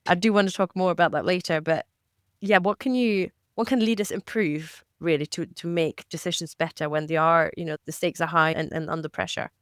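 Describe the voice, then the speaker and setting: Clear-voiced woman podcast co-host. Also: on the low side, steady tone, fairly quick, no room sound, clean studio sound. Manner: friendly, asks long rambling questions. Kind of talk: interview hosting.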